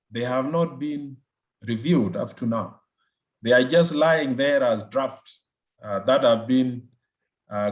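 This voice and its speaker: A man with a South Sudanese accent answering as an expert guest in an interview, phrasing things deliberately and often repeating words as he goes. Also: mid-range voice. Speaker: research fellow, interview guest. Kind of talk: political analysis in an interview.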